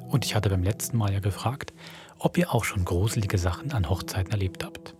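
A man's voice: on the low side, fairly quick, music in the background. A man narrating a scripted introduction to a horror audio drama as podcast host, reading from a script.

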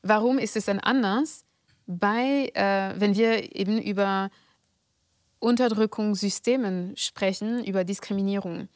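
A woman from France speaking German with a French accent, giving measured, hesitant interview answers. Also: medium pitch, steady tone, no room sound. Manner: measured and deliberate, with frequent hesitations. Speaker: guest.

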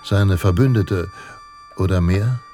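A man narrating a radio drama in a calm, measured storytelling manner. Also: deep voice. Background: music.

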